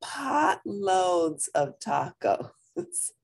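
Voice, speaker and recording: A woman with a husky voice reading a picture book aloud to young listeners in an animated, deliberate manner, with brief asides. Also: lowish voice. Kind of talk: expressive children's read-aloud with brief asides.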